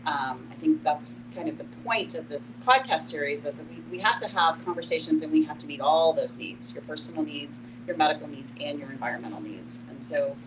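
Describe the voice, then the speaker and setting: A woman with a low voice talks quickly in an explanatory panel style. She repeats key phrases for emphasis, and clear noise sits behind her.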